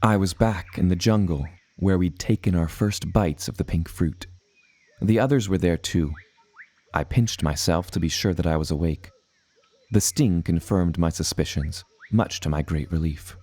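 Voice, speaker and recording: An actor playing a reflective seafaring adventurer, narrating his tale in deliberate storybook phrasing for an audio drama. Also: sits low, darkish tone. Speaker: first-person narrator.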